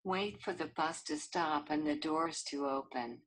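A synthetic woman's voice reading short phrases from a speech app in a flat, clipped way. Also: sits low, unhurried, low-quality recording. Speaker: talking button on a communication app.

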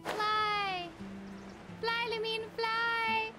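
A woman's voice: extremely high pitch, little pitch movement, drawn-out words. A young woman chatting casually on a game livestream, playfully talking to the characters and her viewers. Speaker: game streamer. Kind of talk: casual livestream chat while gaming. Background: game music.

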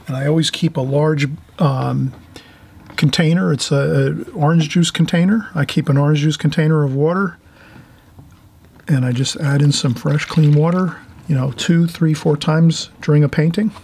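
A man's voice: fairly high pitch, fairly even intonation, normal speed, vocal fry, a little mellow, a little hiss or hum. A man giving an informal watercolor tutorial as the channel's host, rambling a little and often rephrasing himself. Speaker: painting instructor, channel host. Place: indoors at a painting table.

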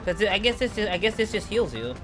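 A man's voice: high voice, big pitch swings, fast talker. Chatty streamer man with a Brooklyn accent. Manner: chatty, joking. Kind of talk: casual gameplay commentary. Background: game music.

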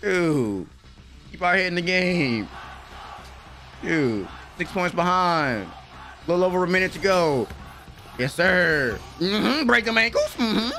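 A young American man giving excited, slangy reaction commentary at home on a close microphone, with an exclamatory manner. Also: high voice, slow pace, vocal fry, long pauses, soft background music. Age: young adult. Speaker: reaction video host. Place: small room at home. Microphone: close desk microphone with headphones.

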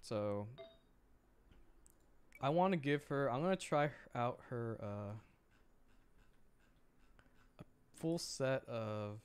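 A man doing casual livestream gameplay commentary, excitable and thinking aloud as he plays. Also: medium pitch, slow, long pauses, clean tone. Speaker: streamer.

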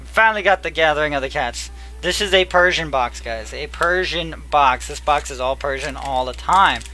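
Bright-voiced young man opening card packs. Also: fairly high pitch, moderate pace, low-quality recording. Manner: chatty, murmurs card names, bursts into excitement. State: losing his voice. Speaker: card pack opener. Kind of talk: casual pack-opening commentary. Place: small room at a table. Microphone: headset.

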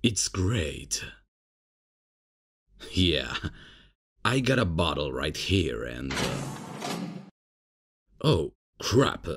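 A man playing a cocky, casual, unprofessional substitute professor in an ASMR comedy roleplay, with a husky, gravelly voice and a hesitant, improvising way of talking. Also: extremely low pitch, sing-song, extremely slow, pauses often, heavily acted. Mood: smug, relaxed. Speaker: roleplay performer.

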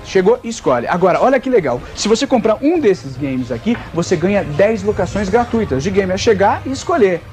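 A man, presenting an informal, playful TV shopping pitch from inside a shop. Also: brisk, hardly any pauses, soft background music. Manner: animated, playful, joking. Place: video game shop, indoors.

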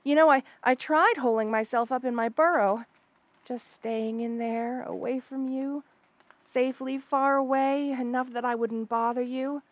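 A woman plays an obsessive monster girl, half snake, in an audio roleplay. Her voice is on the high side and recorded close and dry. She gushes hesitantly, shy yet unsettling, stammering coyly as she confides. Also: fairly even intonation, unhurried, no echo. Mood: nervous, apologetic. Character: obsessive lovestruck female rattlesnake lamia, shy yet unsettling. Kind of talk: intimate audio roleplay monologue.

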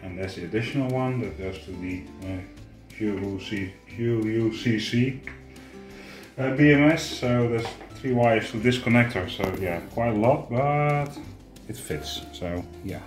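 Low-voiced man in an echoey room. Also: measured pace. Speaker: host of a boat DIY channel. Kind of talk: casual hands-on explanation to camera. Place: indoors, home living room and boat cabin. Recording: echoey room, music behind.

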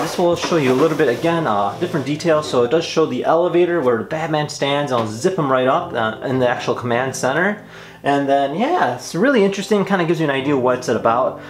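A man in his twenties hosting a toy unboxing and demonstration in an enthusiastic, casual way. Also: on the high side. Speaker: toy review host. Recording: roomy echo, music behind. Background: clear background music.

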